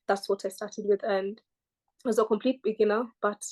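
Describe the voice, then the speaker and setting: A woman's voice: medium pitch, fairly even intonation, moderate pace, darkish tone. A woman from Kenya with a British-sounding accent, a guest giving casual, rambling, self-deprecating interview answers. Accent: British-sounding English.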